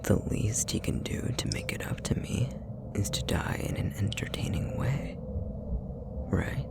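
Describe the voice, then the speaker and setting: Manipulative demon, played by a male actor for whispered ASMR roleplay: very gravelly, unhurried, sweetly threatening. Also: lowish voice, lively intonation, heavily acted. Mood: taunting, sadistic. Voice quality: breathy, creaky whisper. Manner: slow, taunting, mock-affectionate. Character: menacing, possessive male puppetry demon, sadistic and manipulative.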